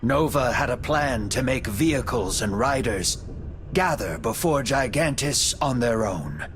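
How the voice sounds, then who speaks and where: A man narrating a video game story in a solemn, measured, dramatic scripted style. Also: mid-range voice, expressive, a little hiss or hum. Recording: music behind the voice. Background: background music.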